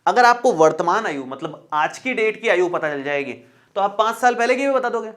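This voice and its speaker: A man's voice: high voice, animated, a little fast, fairly bright tone. A male math teacher explaining a lesson step by step in a chatty, reassuring way, often repeating points and checking in with the viewer.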